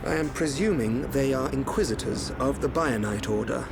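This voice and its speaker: An actor playing a calm, enigmatic young royal seer in a fantasy game drama, speaking formally and with measured composure. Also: male voice, mid-range voice, creaky, noisy background, natural voice. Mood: calm gravity.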